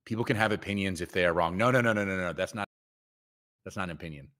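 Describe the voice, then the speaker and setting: A man's voice: low-pitched, fast, a bit bright. A man co-hosting a live trading stream, chatting casually and trash-talking viewers with blunt teasing. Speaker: co-host trader.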